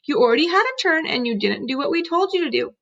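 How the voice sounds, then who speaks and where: A blunt, dismissive businessman from a children's storybook, voiced by a woman. The delivery is high and very animated, with a matter-of-fact edge. The recording sounds a bit dull. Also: quick pace, full character voice. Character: dismissive, bargaining adult man shooting a commercial. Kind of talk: children's storybook read-aloud.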